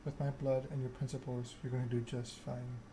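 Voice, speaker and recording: A man's voice: mid-range voice, level tone, fairly quick, background noise, lightly acted. A man voicing a young radical activist vampire woman from a story game, casual, blunt and self-assured. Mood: brusque, reassuring.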